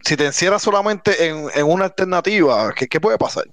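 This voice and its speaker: Cuban-American male wrestler, higher voice, animated and stumbling, dry mic. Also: brisk, dry recording. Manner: animated, stumbles and repeats words. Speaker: professional wrestler. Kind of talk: casual interview.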